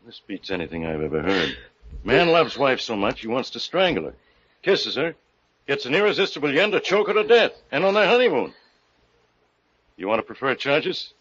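A man's voice: mid-range voice, very animated, moderate pace, a bit bright, husky, dry recording. A man playing a blunt, wisecracking police inspector with slangy, hard-boiled delivery in 1940s radio mystery style. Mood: incredulous. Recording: muffled old-time radio recording.

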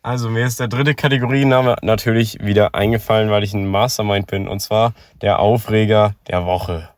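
Teen co-host, low voice, stuffy cold nose, slangy banter, dry sound. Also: male voice, fairly even intonation, moderate pace, dry recording. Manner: slangy, joking. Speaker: podcast co-host. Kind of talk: casual chat.

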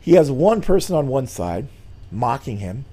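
Slightly hoarse, mellow-voiced middle-aged man. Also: mid-range voice, big pitch swings, moderate pace. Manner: earnest, with frequent "uh" fillers and restarts. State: slightly hoarse, losing his voice. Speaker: church president hosting a weekly show. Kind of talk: informal sermon-style Bible lesson.

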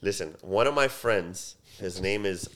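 A young man with a deep voice, chatting loosely as a podcast guest with lots of fillers and restarts. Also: measured pace, a bit bright. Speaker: podcast guest, soccer field owner. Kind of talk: casual podcast chat.

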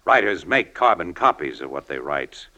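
Low-voiced, hard-edged American newspaperman. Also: big pitch swings, normal speed, pushed and bright, muffled recording, exaggerated voice. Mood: cool, needling. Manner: slangy, cool, needling. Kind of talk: 1940s radio drama acting.

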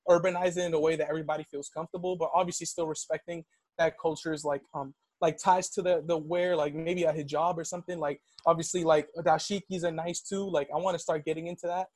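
A man's voice: fairly high pitch, fast, no room sound. A young man of Dominican background telling a personal story in casual conversation, full of "like" and "yo.". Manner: animated storytelling, heavy use of "like".

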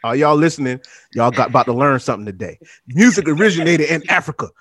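A relaxed man hosting a podcast, his voice pitched a little high for a man and heard in a dry, close room. He keeps it loose and slangy, with easy filler words. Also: quick pace, no room sound. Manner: laid-back, slangy, frequent "man" and "you know". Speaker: podcast host. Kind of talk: casual interview chat.

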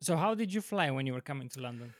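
A man hosting a YouTube interview, talking in a halting, eager way with many restarts. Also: medium pitch, animated, no room sound, clean studio sound. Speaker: YouTube channel host. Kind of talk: casual interview.

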